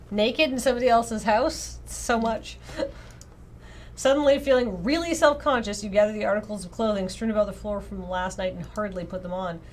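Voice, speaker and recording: A woman reading a visual novel aloud on a livestream in an expressive, casual way, often breaking off into playful asides. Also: mid-range voice, normal speed, a little hiss or hum.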